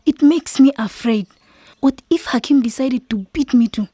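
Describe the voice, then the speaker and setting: A woman playing a gentle, anxious young village woman and caring friend in a radio drama, speaking softly and earnestly. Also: high voice, moderate pace, breathy edge, no echo, studio quality. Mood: troubled, afraid. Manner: soft, earnest.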